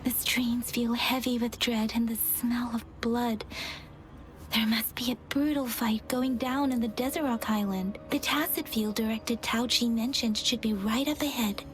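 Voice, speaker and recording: A woman acting as an earnest young female soldier in a video game. Her voice sits a little high and sounds careful and watchful. Clear music runs underneath. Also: steady tone, moderate pace, natural voice. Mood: wary, troubled.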